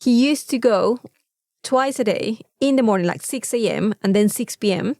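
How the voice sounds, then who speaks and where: A woman chatting casually as a podcast co-host, animated and free with swearing. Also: a little high, moderate pace, vocal fry, dry recording, studio quality. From Mexico. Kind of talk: casual chat.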